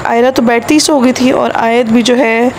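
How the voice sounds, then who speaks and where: A woman in her thirties with a Pakistani Urdu accent, narrating her road-trip vlog in a chatty voice-over, her voice hoarse from a sore throat, and repeating phrases for emphasis. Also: fairly high pitch, steady tone, normal speed, noisy background. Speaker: travel vlogger.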